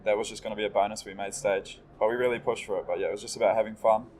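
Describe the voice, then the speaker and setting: A young New Zealand man talks fast and easily in a casual interview, his accent plain. His voice sits low and stays flat, with little rise or fall. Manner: laid-back, quick, agreeable. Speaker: esports player.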